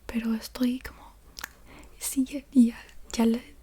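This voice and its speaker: A woman doing soft-spoken ASMR, casually rambling in a breathy whisper with a hesitant, playful manner and softly repeated words. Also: on the high side, little pitch movement, slow. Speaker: ASMR creator.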